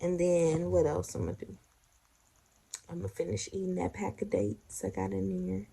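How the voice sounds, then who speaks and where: An expectant mother chatting casually at home in a home vlog, leaning on "like" as she talks, sometimes speaking softly to her unborn baby. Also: on the low side, very animated, normal speed, vocal fry, husky. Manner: chatty, leans on "like" a lot.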